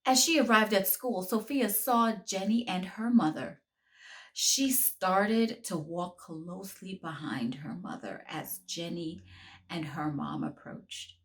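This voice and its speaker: A woman, a children's book author, reading her story aloud to children in a slow, expressive, encouraging way. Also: lowish voice.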